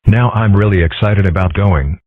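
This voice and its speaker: A man's voice: sits low, moderate pace. A man voicing a glum young fox boy in a fan comic dub, with a sardonic, put-upon delivery. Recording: dry, phone-like lo-fi sound.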